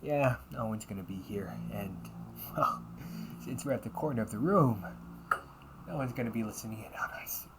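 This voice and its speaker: A young delinquent older brother , played by a man, talks in blunt slang with a sarcastic, needling manner. His pitch is lively and shifting, as in a homemade audio drama. Some noise sits behind the voice. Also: normal speed, long pauses. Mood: irritated, blunt. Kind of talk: amateur audio roleplay acting.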